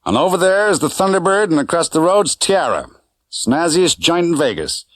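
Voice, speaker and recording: A man's voice: on the high side, very animated, moderate pace, no echo, studio quality, pitched above the performer's own voice. A man voicing a brash, chatty American taxi driver with slangy, familiar patter in an audiobook. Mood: showy, chatty. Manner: slangy, familiar, showy tour-guide patter.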